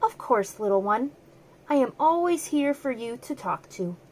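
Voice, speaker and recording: A woman's voice: on the high side, expressive, measured pace, darkish tone. A woman voicing a wise old female elephant from a children's storybook, speaking in a soothing, reassuring, explanatory way. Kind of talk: children's storybook read-aloud.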